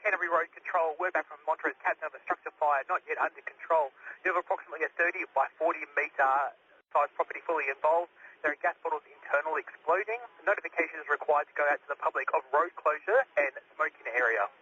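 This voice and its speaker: Male, sharp voice, procedural emergency read-back, lo-fi two-way radio sound. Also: fairly high pitch, fast, slightly rough.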